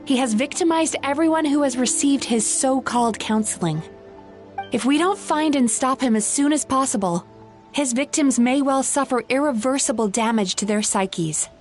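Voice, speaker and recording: An actress playing a composed woman who enters dreams, explaining in a calm, measured way. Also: sits high, faint music behind, lightly acted.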